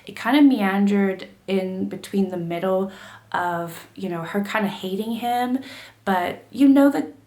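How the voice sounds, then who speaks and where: A woman book vlogger getting over a cold, her throat gravelly, chatting casually to camera with lots of fillers and restarts. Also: mid-range voice, unhurried, a little mellow, reverberant. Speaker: book YouTuber.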